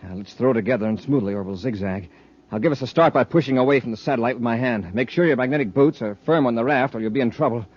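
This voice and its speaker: An actor playing a resolute, quick-thinking space commander, earnest and commanding, in an old-time radio serial. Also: male voice, mid-range voice, natural voice. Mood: instructive, brisk. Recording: dull, noisy old radio recording.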